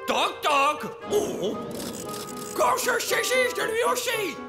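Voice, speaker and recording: Pompous alien tyrant king, children's cartoon: indignant lisp, slow animated delivery, long echo with music behind. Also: extremely high pitch, full character voice. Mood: outraged.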